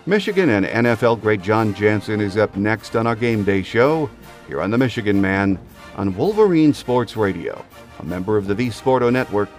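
A man hosting a sports podcast, delivering scripted commentary. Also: lowish voice. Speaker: podcast host.

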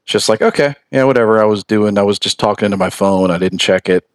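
Low-voiced man asking casual questions. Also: fast, dry recording, studio quality. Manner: friendly, curious questioning. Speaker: podcast host. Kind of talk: casual interview chat.

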